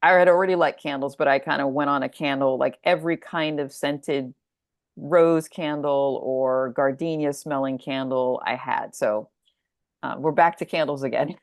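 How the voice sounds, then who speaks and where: Female author guest, low register, very dry sound, reflective and halting conversational delivery. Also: dry recording. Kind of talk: casual, reflective interview talk.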